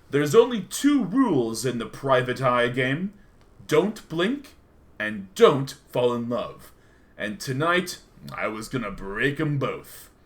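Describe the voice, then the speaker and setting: A man's voice: medium pitch, a bit bright. A man playing a hardboiled private detective, narrating his noir case in a wry, slangy radio-play style. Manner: dramatic, slangy, wry storytelling. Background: music.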